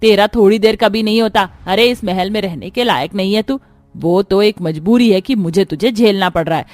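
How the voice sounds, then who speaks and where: A woman voicing a cruel stepmother in a cartoon story, nagging with sharp sarcasm. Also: mid-range voice, normal speed, no echo, faint music behind, natural voice. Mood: scolding, contemptuous. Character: cruel, scolding stepmother of a palace household.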